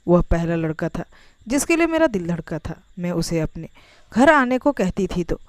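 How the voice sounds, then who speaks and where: A woman's voice: on the low side, big pitch swings, normal speed, no room sound. A woman reading a scripted, first-person emotional story as its narrator.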